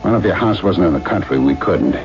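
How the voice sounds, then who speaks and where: An actor playing a cocky, slangy, streetwise carnival schemer in a radio drama. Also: male voice, sits low, animated, fairly quick, faint music behind. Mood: confident, conspiratorial. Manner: wisecracking, streetwise. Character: tough, slangy, cocky male carny schemer. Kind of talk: 1970s radio drama acting. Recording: dull lo-fi old radio.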